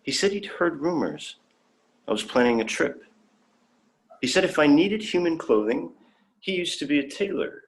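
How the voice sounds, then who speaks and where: Actor as naive young alien. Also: male voice, fairly high pitch, sing-song, normal speed, long pauses, slight background noise. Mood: wondering, proud. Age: young adult. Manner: earnest, polite, wondering. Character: naive, eager young male alien traveller, earnest and bookish. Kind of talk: sci-fi audio drama reading.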